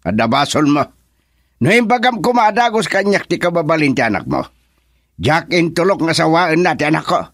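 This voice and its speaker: A man's voice: on the high side, sing-song, moderate pace, a bit bright, no room sound, studio quality, full character voice. A man playing a protective, stern older father and grandfather in a radio drama, with an Ilocano Filipino accent. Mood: indignant, stern. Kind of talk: melodramatic radio drama acting.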